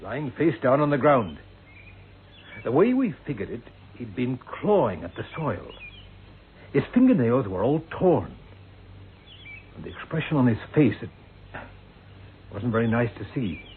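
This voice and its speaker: An actor playing a respectful, matter-of-fact police sergeant in a radio play. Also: male voice, medium pitch, sing-song, unhurried, pauses often. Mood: grave, puzzled. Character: plain-spoken, respectful male police sergeant. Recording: noisy, tinny old radio recording.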